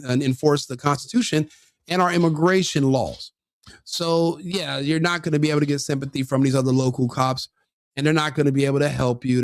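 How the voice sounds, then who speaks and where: A man's voice: fairly high pitch, no room sound, clean studio sound. A man giving crude, mocking commentary on a video, sneering and laughing often.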